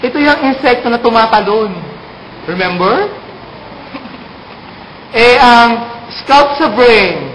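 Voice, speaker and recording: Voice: mid-range voice, expressive, slow pace, pauses often, a bit bright. A performer with a Filipino accent voicing a jokey puppet in a playful, casual greeting, teasing and repeating punchlines to explain them. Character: jokey puppet sending mangled-word greetings to a friend. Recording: roomy echo, faint noise.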